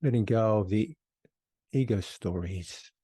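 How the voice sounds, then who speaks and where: A man leading a guided meditation as a teacher, unhurried, giving one short phrase at a time with long pauses. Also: on the low side, mellow, slightly rough, no room sound, clean studio sound.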